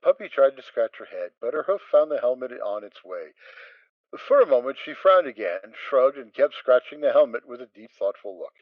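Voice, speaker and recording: A man narrating a fan audio drama, reading with expressive storytelling. The audio is dry and slightly dull. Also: mid-range voice, normal speed, no room sound.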